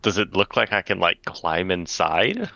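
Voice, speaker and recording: A man's voice: deep voice, sing-song, unhurried, gravelly, bright, forceful tone. A man talking casually in role as a player in a tabletop game. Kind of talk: casual role-play talk. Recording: dull, lo-fi mic.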